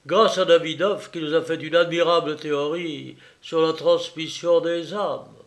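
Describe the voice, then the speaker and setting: A man reading as a feverish young man in a storybook, bitter and mocking, his voice rising sharply on the taunts. He speaks in a slightly high register and at an unhurried pace, and the delivery stays vehement throughout. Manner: vehement, sardonic.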